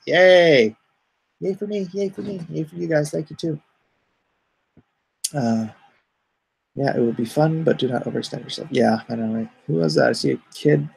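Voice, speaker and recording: A middle-aged man streaming live from home, reading chat aloud and answering it in an easygoing, even-tempered way. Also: on the high side, vocal fry, a little hiss or hum, rough recording. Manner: calls himself soothing and even-tempered; reads chat comments aloud and answers them.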